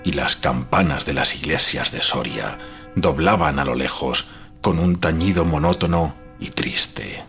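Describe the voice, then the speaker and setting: A man narrating a gothic horror tale as storyteller, with a grave, deliberate, literary delivery and a husky voice. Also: extremely low pitch, fairly bright tone, faint music behind.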